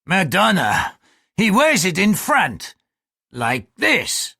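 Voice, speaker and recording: Guy putting on a rough lecherous bosun, pitched up, breathy edge, dry sound. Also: huge pitch swings, takes it slowly, sharp tone, dry recording, full character voice. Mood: gruff. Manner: coarse, leering, threatening. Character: crude lecherous male Portuguese ship's bosun.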